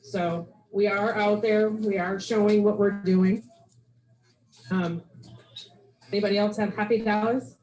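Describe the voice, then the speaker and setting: A woman leading a club meeting and giving upbeat, brisk announcements into a handheld microphone. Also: mid-range voice, fairly even intonation, a little mellow. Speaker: meeting leader. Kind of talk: casual club meeting chat. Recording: echoey meeting room, faint background noise.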